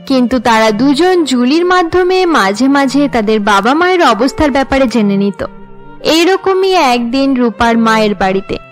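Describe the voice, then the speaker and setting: A woman with a high-pitched voice narrates a cartoon tale. Her delivery is scripted storytelling, set over faint music. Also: expressive, moderate pace.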